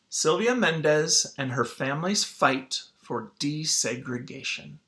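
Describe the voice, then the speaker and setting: Bright-voiced male teacher. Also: fairly high pitch. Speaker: teacher reading aloud to students. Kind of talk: informal lesson talk and children's book read-aloud.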